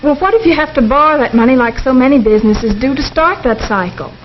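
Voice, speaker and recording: An actress playing a hesitant, curious young student in a 1950s educational film. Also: female voice, sits high, lively intonation, quick pace. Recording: dull, noisy 1950s film soundtrack.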